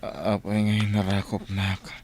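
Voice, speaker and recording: An actor playing a confused husband with no memory in an audio drama, with a Filipino accent. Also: male voice, on the low side, completely flat delivery, normal speed, noisy background, natural voice. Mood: bewildered. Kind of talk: Ilocano audio drama acting.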